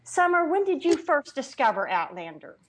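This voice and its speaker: A woman hosting an interview, asking her guests expressive questions. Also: high voice, moderate pace. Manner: expressive questioner, laughs often. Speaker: host.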